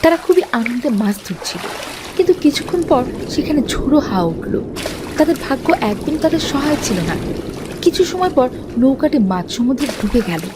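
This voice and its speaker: A woman's voice: sits high, noisy background. A woman reading scripted fairy-tale narration as the storyteller of a cartoon.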